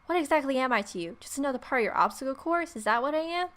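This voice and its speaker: A woman's voice: sits high, animated, rapid-fire, fairly bright tone. A woman reading story text aloud as a let's player, with irreverent, sarcastic asides.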